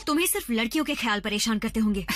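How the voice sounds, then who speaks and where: A woman's voice: a little high, expressive, very fast, fairly bright tone. An actress voicing a tough, curt female robot warrior in a cartoon dub. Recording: dubbed with music underneath.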